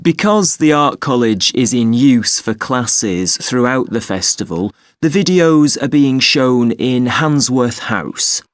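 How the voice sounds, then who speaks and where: A man playing a patient, polite festival information officer in an acted listening exercise, giving details in a measured, helpful way. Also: medium pitch, animated, no room sound, studio quality. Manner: measured, helpful, spells words out slowly. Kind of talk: acted listening-test dialogue, clearly enunciated.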